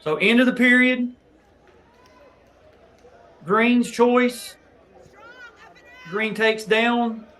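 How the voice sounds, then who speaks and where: Higher-voiced man coaching new officials. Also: extremely slow, long pauses, fairly bright tone, a faint crowd. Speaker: host reviewing match footage. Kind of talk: instructional match breakdown for officials.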